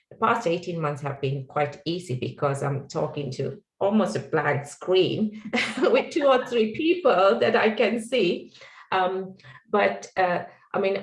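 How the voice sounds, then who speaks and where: A woman's voice: low voice, echoey room, low-quality recording. A middle-aged woman with a Sri Lankan accent, speaking as a guest on an online panel in an earnest, reflective way with many fillers. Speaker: guest speaker, tech executive.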